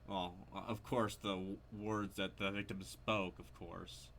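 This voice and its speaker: A man's voice: sits low, flat delivery, a little slow, a bit bright, a little hiss or hum. A man giving casual, unscripted game commentary, hesitant and rambling as he thinks aloud. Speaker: video creator commenting on a horror game.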